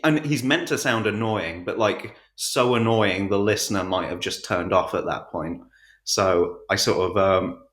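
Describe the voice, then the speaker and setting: A man with a fairly low voice speaks casually as a podcast guest who narrates audiobooks. He is jokey and self-deprecating, feeling his way through sentences. The sound is roomy and echoey, like a home recording. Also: a bit bright. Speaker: audiobook narrator being interviewed. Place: untreated room at home.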